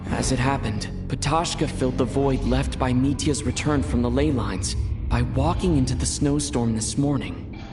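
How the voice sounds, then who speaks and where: A man's voice: medium pitch, moderate pace, over music, close to an everyday voice. Earnest young hero recounting a mystery, man acting. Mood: somber, reflective. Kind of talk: scripted game narration, inner monologue.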